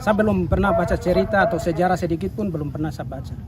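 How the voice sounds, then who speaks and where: A man with a Papuan Indonesian accent giving an interview statement as an activist leader, haltingly, with broken-off words. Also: sits high, over a noisy crowd. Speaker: organisation chairman, activist. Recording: outdoor interview with clear traffic noise.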